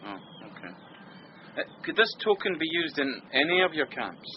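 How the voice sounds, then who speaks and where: A man's voice: on the high side, big pitch swings, moderate pace. A male actor playing a polite, eager but hesitant Scottish police sergeant in a comic radio drama. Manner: deferential, tentative. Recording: muffled radio drama, noisy background.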